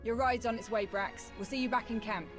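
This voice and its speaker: A woman's voice: on the high side, fairly quick, lightly acted. An actress playing a wry, battle-hardened female major in a video game, with dry, informal, clipped delivery. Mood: wry, businesslike. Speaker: military officer character in a video game. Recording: loud game music behind. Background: loud music.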